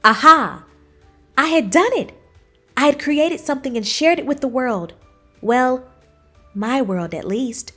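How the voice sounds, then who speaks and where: A woman voicing an earnest, anxious cookie schoolchild in a children's storybook, with expressive, dramatic emphasis. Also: high voice, measured pace, long pauses, faint music behind, heavily acted. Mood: excited, triumphant. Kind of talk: children's storybook read-aloud.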